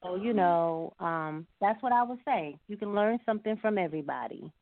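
A woman's voice: mid-range voice, expressive, moderate pace. A woman being interviewed as a singer, answering conversationally and reflectively at some length over a phone call. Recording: narrow-band, phone-like line.

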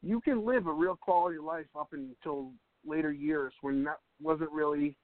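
A man's voice: on the high side, normal speed, no echo. A man in his mid-thirties talking casually on a radio show, often restarting his sentences and laughing easily. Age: 30s. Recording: dry, tinny phone-like line.

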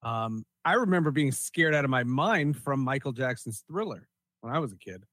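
A man's voice: medium pitch, animated, moderate pace, a bit bright, dry recording, clean studio sound. A man hosting a podcast in casual chat, with an informal, exclamatory way of talking. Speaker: podcast host.

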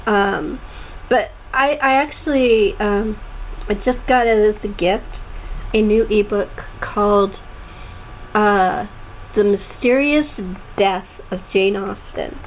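A woman hosting a casual chat interview, talking in a rambling, halting way with frequent restarts. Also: mid-range voice. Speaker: talk show host. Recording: tinny phone-line sound with faint noise.

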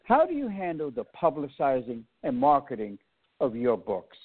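A man's voice: mid-range voice, big pitch swings, normal speed, breathy edge. Host, man, affirming interviewer with long setups; tinny phone-line sound. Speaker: radio show host. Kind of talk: interview hosting.

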